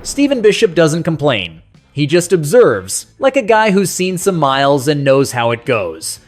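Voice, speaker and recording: A man's voice: fairly high pitch, sing-song, a little slow. A man narrating a scripted nostalgic countdown in a folksy, conversational, sentimental storytelling way. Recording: music playing behind the voice. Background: music.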